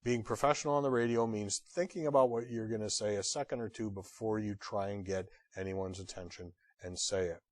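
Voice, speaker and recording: A man giving an instructional lecture as a trainer, blunt and direct with wry humor and emphatic pauses on key phrases. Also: fairly low pitch, fairly even intonation, no room sound. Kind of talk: instructional lecture on radio procedure.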